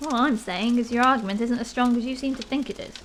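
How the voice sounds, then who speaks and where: A woman's voice: on the high side, quick pace, slight background noise, lightly acted. A woman acting in an audio drama as a self-assured, logical young lady with a precise, arch way of debating. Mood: challenging.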